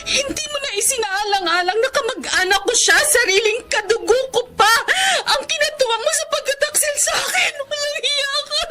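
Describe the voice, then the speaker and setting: High-pitched, anguished betrayed Filipino wife. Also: female voice, normal speed, hardly any pauses, sharp tone. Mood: tearful outrage. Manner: tearful, confrontational, emotionally heightened. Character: betrayed middle-aged wife, anguished and accusing. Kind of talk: Filipino radio drama acting. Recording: dramatic music behind the voice.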